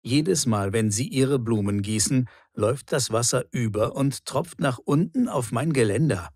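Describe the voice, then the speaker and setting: A man playing a particular, reproachful neighbour in a clearly articulated language-lesson dialogue. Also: medium pitch, expressive, a little slow, a little mellow, no echo, clean studio sound. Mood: complaining. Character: grumbling male apartment neighbour, particular and reproachful.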